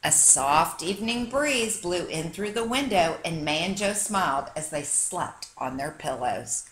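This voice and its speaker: Lady reading a picture book to little kids, super expressive, pitch goes all over, bit of background noise. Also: measured pace, poor audio. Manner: animated, dramatic storytelling to young children. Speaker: teacher reading aloud. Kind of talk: expressive children's picture book read-aloud.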